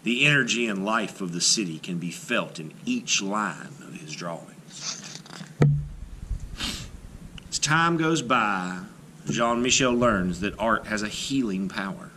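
A man reading a children's picture book aloud expressively, with dramatic phrasing and deliberate pauses. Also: fairly low pitch, animated, bright, forceful tone, a little hiss or hum.

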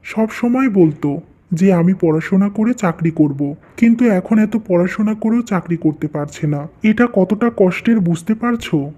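An actor plays a humble young man in a cartoon family tale. He is a dutiful husband and brother with a mellow, soft-toned voice and a gentle manner. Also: high-pitched, expressive, normal speed. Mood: earnest. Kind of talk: Bengali cartoon story voice acting.

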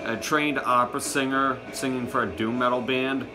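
A man's voice: medium pitch, steady tone, moderate pace, over music. A young man in his teens or early twenties doing a casual show-and-tell to camera, recorded at arm's length in a small room at home. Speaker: music collection vlogger.

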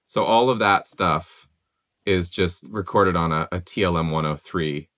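A man's voice: low voice, a little slow, no echo. A young man in his twenties, a music producer, casually explaining how a song was made in his home studio, with many "like" fillers. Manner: relaxed, conversational, many "like" fillers.

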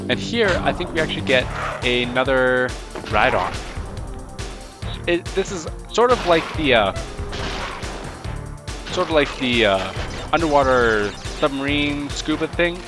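A man's voice: medium pitch, lively intonation, a little slow, pauses often. Sniffly man chatting over gameplay. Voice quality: rambling, self-talking, playful jokes at the game. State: stuffy from a cold, sniffling. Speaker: let's play host. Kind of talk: casual gameplay commentary. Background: loud game music.

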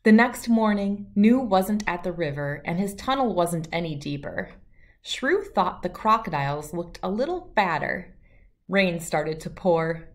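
Middle aged lady reading a kids book aloud, slow n animated, lowish voice. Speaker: children's read-aloud host. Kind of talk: storybook narration for children.

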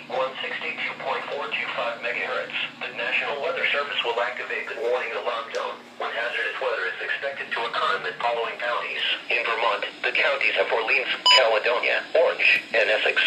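A man with a mid-pitched voice reads a scripted announcement through a weather radio speaker. His delivery is formal and impersonal. The playback is captured in an echoey room under heavy noise. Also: fairly even intonation, a little fast, few pauses, far from the mic. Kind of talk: scripted public service announcement. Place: played from small weather radios in a home room. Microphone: handheld camera moved among radios.

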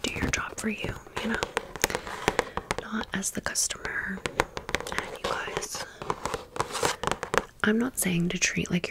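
A woman whispering in a breathy, unvoiced voice, chatting informally to viewers as friends in a casual ASMR storytime. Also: mid-range voice. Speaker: ASMR video creator and host.

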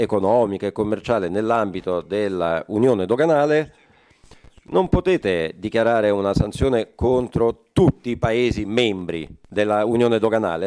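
A man interpreting a forum speech live, halting often with fillers and correcting himself mid-phrase. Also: lowish voice, a little mellow. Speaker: conference interpreter.

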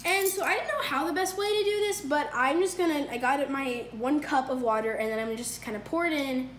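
Young woman, high voice, upbeat and rambling, home kitchen, noisy background. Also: fairly quick, a bit bright. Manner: chatty, upbeat, many fillers and self-corrections. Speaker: cooking video host. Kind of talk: casual cooking demonstration. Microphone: phone camera across the counter. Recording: echoey kitchen with noise.

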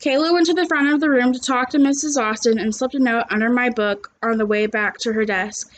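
A woman's voice: fairly high pitch, brisk, a little hiss or hum. A young adult woman, a teacher, reading a story aloud and chatting to children, recorded at home on a computer's microphone. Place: small living room at home, indoors.

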